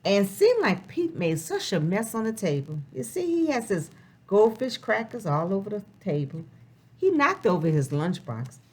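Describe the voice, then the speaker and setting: A woman reading a picture book aloud to young children, expressive and sing-song, posing questions as she goes. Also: mid-range voice, moderate pace, breathy edge. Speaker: children's storybook reader.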